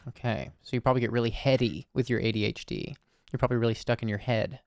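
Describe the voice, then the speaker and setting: A man hosting an anonymous call-in circle, speaking softly and searchingly with many pauses and fillers. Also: mid-range voice, gravelly, no room sound, clean studio sound. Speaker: host. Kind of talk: listening call-in conversation.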